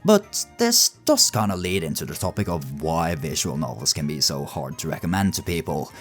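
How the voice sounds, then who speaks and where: Male video essayist: low voice, animated swings in pitch, wry and sweary casual delivery, music underneath. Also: normal speed. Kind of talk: casual scripted video commentary. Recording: music behind the voice. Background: background music.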